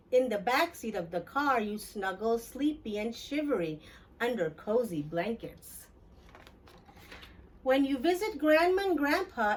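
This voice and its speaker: Slow, high-voiced woman reading a storybook. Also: husky, recorded from a distance, low-quality recording. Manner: expressive, deliberate storytelling to young listeners. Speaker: children's book read-aloud host. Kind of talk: scripted storybook narration for children.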